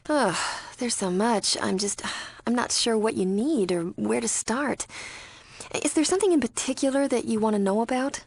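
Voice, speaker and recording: An actress playing a wary, sardonic young spy undercover in a cult, speaking conversationally as a video game character. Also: female voice, mid-range voice. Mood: helpful, uncertain.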